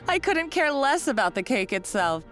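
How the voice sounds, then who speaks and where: A woman's voice: high voice, fairly bright tone, exaggerated voice. An actress playing a gloating, scheming female villain in a video game, theatrical and sardonic, taunting as she talks. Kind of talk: dramatic video game voice acting. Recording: game dialogue over background music.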